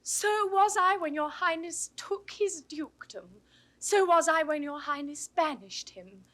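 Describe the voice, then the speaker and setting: Proud young british noblewoman, high voice swooping all over, very theatrical, old shakespeare play. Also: big pitch swings, measured pace, bright, forceful tone, exaggerated voice. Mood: defiant pleading. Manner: theatrical, impassioned, eloquent. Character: proud, passionate young noblewoman, the banished niece. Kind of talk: 1960s Shakespearean audio drama acting.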